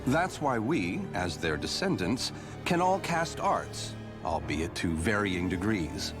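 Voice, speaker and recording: An actor playing a calm, matter-of-fact male scientist in a video game cut-scene. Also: fairly low pitch, takes it slowly. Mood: explaining. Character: calm male scientist explaining grim history. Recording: game audio with music behind.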